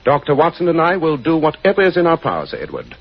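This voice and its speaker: An actor playing a shrewd, commanding English gentleman detective in a 1940s radio drama, speaking precisely and with authority. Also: on the high side, wildly swooping pitch, fast, vocal fry, exaggerated voice. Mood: brisk, grave. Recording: dull, noisy 1940s radio recording.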